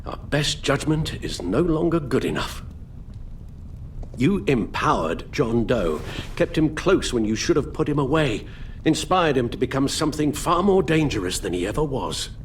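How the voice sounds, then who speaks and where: An actor playing an elderly British butler in a video game, formal and measured, weary and full of sorrow. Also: male voice, medium pitch, sing-song, slight background noise, close to an everyday voice. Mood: pained reproach. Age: older. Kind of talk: dramatic video game acting.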